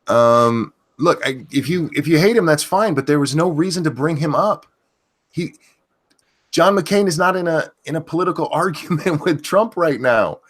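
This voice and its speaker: A man's voice: on the high side, animated. Blunt, cursing male livestream host. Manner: blunt, cursing, self-assured, halting restarts. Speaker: show host. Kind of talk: casual livestream chat.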